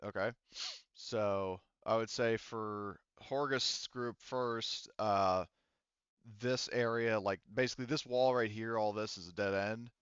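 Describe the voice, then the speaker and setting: A man running a tabletop game, narrating off the cuff in a halting, conversational way. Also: fairly low pitch, steady tone, fairly bright tone, clean tone, no echo, highs cut off. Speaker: game master.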